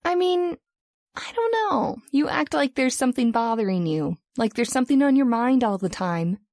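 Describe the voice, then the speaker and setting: An actress playing a chatty, teasing and forthright young hospital receptionist in an old-time radio drama. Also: female voice, sits high, expressive, moderate pace, a little mellow, no echo. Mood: prodding. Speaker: voice actor.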